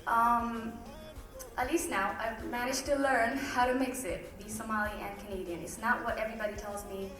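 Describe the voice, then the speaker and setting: A woman's voice: on the high side, little pitch movement, moderate pace. A young woman giving a candid personal speech to an audience, chatty, with rhetorical questions. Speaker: guest speaker. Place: event hall. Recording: roomy hall from a distance.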